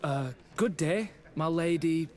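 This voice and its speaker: An actor playing a nervous young soldier who speaks hesitantly and deferentially in a video game. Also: male voice, on the high side, takes it slowly, a little mellow. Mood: flustered, apologetic. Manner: hesitant, flustered, stammering. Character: nervous, deferential young male army recruit. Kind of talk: video game character acting.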